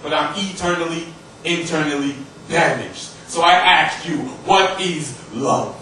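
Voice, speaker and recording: A man's voice: fairly high pitch, a little slow, pushed and bright. Young man, spoken-word poet, dramatic emphatic delivery, very echoey room, some background noise. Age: young adult. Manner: impassioned, dramatic delivery with emphatic repetition and alliteration. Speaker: slam poet. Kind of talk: spoken-word slam poetry performance. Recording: roomy, noisy live recording from far off.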